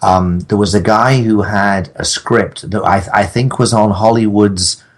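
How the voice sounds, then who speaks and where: A man with a lowish, husky voice talks as a podcast guest. He tells anecdotes casually, circling and pausing as he rambles toward his point. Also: fairly even intonation. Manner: halting, rambling storyteller.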